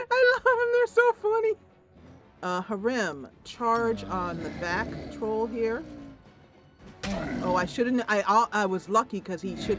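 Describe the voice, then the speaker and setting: A woman hosting a gameplay channel, chatting casually and excitably as she comments on the game. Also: medium pitch.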